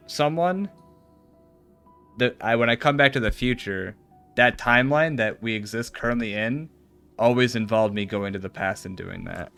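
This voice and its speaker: A man's voice: mid-range voice, pushed and bright, smooth. A man doing a game playthrough, reading dialogue aloud and adding casual, joking asides. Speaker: video game streamer.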